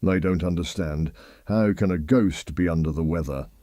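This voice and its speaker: A very deep, mellow and dry British voice from a male actor presents a late-night phone-in. He is a genial host, chatty and lightly bemused, in talk radio style. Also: moderate pace, dry recording, natural voice.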